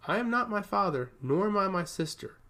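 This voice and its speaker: A man's voice: sits high, big pitch swings, a little fast, pushed and bright, pitched above the performer's own voice, heavily acted. A man voicing a humble, protective island prince in an earnest, reassuring manner. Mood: earnest resolve.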